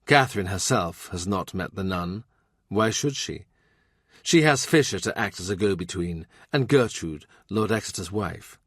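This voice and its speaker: A man's voice: low voice, expressive, normal speed, a bit bright, dry recording, studio quality. A man reading a historical novel as scripted literary audiobook narration.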